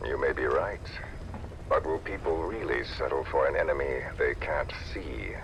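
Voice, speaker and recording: An actor playing a menacing disfigured villain in a video game, speaking with deliberate, theatrical and coldly eloquent malice. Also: male voice, extremely low pitch, background noise. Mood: measured, probing. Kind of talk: dramatic video game voice acting.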